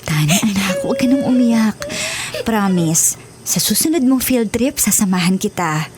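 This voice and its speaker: A woman's voice: medium pitch, huge pitch swings, normal speed, a little mellow. A woman playing a tender, ailing Filipino mother who pleads and soothes in a television drama. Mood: tender, soothing.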